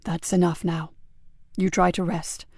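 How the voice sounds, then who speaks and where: A woman voicing a kind male police sergeant who speaks in a calm, low, reassuring way. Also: moderate pace, vocal fry, no echo, clean studio sound. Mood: soothing.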